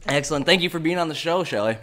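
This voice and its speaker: A hoarse man hosting a podcast in a laid-back way, with lots of "uh" and "um" between his thoughts. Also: fairly high pitch, animated, rapid-fire, a bit bright. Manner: laid-back, full of "uh" and "um" fillers. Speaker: podcast host. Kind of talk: casual podcast interview.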